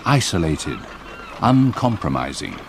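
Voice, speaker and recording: An actor playing an older English solicitor recounting a haunting memory in a radio drama, measured, literary and grave. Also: male voice, low voice, big pitch swings, background noise, exaggerated voice. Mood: grave, reflective.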